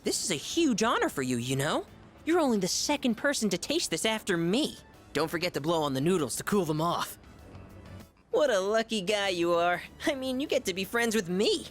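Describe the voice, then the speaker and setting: Gluttonous, pompous high-school boy for a game; high, bombastic, very animated delivery. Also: moderate pace, faint music behind. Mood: bossy, boastful. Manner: bombastic, dramatic swings between squeals and low asides. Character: gluttonous, pompous, theatrical male high-school student, self-styled gourmet. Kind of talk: video game voice acting.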